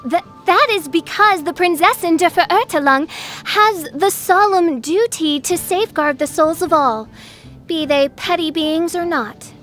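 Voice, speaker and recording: High-voiced, pompous teenage princess. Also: female voice, unhurried, a bit bright, soft background music. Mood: flustered, proud. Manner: pompous, archaic, dramatic royal speech. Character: grandiose theatrical teenage girl, self-styled princess. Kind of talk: video game character voice lines.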